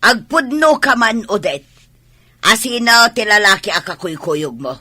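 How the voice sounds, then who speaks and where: A suspicious, scolding mother in an Ilocano radio drama. Her voice is sharp and swings widely in pitch, with an Ilocano accent and a dry, close sound. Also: animated, measured pace, dry recording. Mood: accusing. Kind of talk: Philippine radio drama acting.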